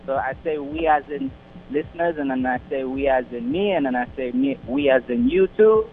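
A man's voice: medium pitch, a little fast. A young man who grew up in London and the Caribbean, a radio guest talking over the phone with many fillers and restarts. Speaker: radio guest, community organization founder. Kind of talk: casual interview over the phone. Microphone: phone line. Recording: tinny phone line with faint noise.

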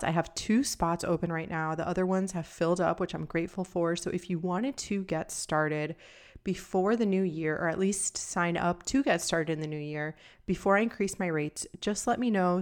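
Woman podcast host, young adult, calm and even, lowish voice, solo casual talk. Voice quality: calm, somewhat monotone, deeper voice. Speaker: podcast host and nutrition coach.

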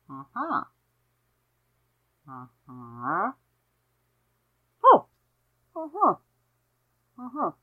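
A woman hosting her own podcast in an easy, rambling way, throwing in self-deprecating asides as she goes. Her voice sits a little low and has a slight rasp to it. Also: fairly bright tone. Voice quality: slightly raspy. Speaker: podcast host. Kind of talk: casual solo podcast chat.

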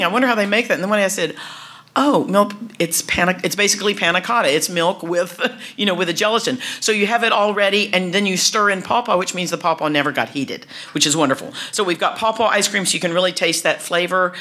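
A middle-aged woman, talking casually to an audience, chatty and warm, with many restarts and laughs. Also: lowish voice.

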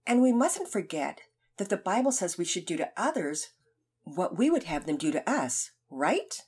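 Calm teacher man, as a woman reads him. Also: fairly low pitch, slightly rough. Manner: calm, measured. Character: calm, serious male Sunday school teacher.

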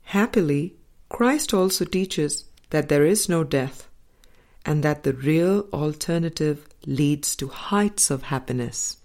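A woman reading a spiritual book aloud as an audiobook narrator, in measured, deliberate phrases with pauses. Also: sits low, lively intonation, a little mellow. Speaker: audiobook reader.

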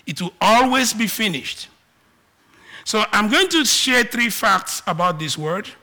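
A man from Nigeria with a Nigerian accent, preaching a sermon and moving between loud emphatic declarations and quiet asides. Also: high-pitched, animated, measured pace.

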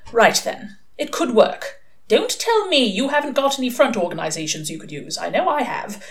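A woman voicing a prim, earnest and gentle male angel in a storybook reading, hesitant and over-polite. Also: on the high side, sing-song, moderate pace, pitched above the performer's own voice. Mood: insistent.

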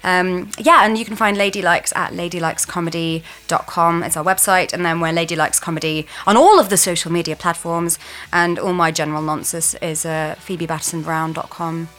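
A woman's voice: fairly low pitch, steady tone, fairly quick, few pauses, fairly bright tone. A woman comedian chatting casually as a podcast guest, hesitant and self-deprecating. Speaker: guest sketch performer and comedian.

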